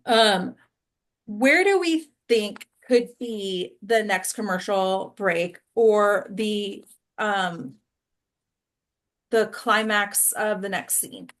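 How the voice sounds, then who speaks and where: A woman's voice: mid-range voice, extremely slow, fairly bright tone. A woman teaching and hosting an acting class, talking casually to a small group and often checking in with questions. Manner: conversational, peppered with check-in questions like "right?".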